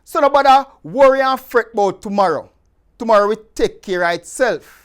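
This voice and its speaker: A man's voice: high voice, expressive, normal speed. A man with a Jamaican accent reading scripture aloud to viewers in an emphatic, conversational way. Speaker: scripture reader. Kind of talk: expressive scripture reading in Patwa, addressed to viewers.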